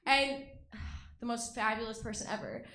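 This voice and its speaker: A woman's voice: on the high side, fairly even intonation, moderate pace, fairly bright tone, roomy sound. A young woman from Russia doing a stand-up comedy set, bubbly and self-mocking, laughing between her jokes. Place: comedy club stage.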